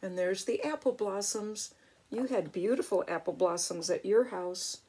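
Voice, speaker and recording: An older woman, a grandmother, reading a picture book aloud in an expressive way and chatting to a young child with little exclamations. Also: mid-range voice, moderate pace, husky. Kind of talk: storybook read-aloud with chatty asides to a child.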